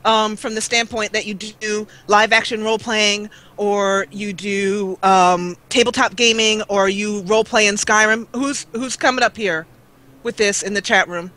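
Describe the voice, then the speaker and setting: A woman's voice: medium pitch, steady tone, a little slow, sharp tone. A woman chatting casually and playfully as a gaming guest on an online call. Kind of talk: casual chat.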